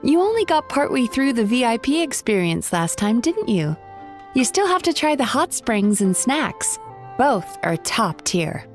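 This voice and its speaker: Poised, analytical young therapist. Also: female voice, a little high, very animated, unhurried, soft background music. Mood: gracious. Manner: articulate, clinical, composed. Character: poised, analytical young woman therapist, self-assured and composed. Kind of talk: anime video game voice acting.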